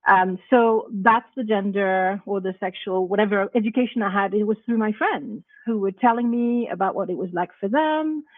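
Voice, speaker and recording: A woman's voice: mid-range voice, moderate pace, hardly any pauses, no room sound. Woman on a tinny phone-like line. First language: not English. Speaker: parent guest. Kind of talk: podcast discussion.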